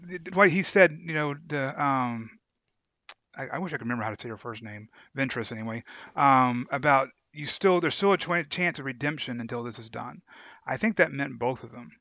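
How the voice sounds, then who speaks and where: Bright-voiced man on a slightly dull, dry recording. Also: mid-range voice, fairly quick, dry recording. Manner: hesitant, searching for words. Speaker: podcast co-host. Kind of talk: casual book discussion.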